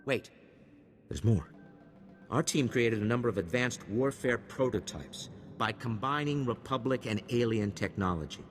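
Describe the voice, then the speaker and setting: A man's voice: mid-range voice, animated, moderate pace, soft background music, natural voice. Man acting a grave scientist, reverberant. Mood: grave warning. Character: grave, matter-of-fact male research scientist. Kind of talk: dramatic video game voice acting. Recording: long hall-like reverb.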